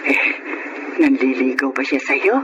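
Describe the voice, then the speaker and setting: A woman's voice: very deep voice, a little slow, lo-fi sound. A woman playing a caring, outspoken middle-aged aunt in a Filipino radio drama. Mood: nudging, insistent. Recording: noisy radio drama recording.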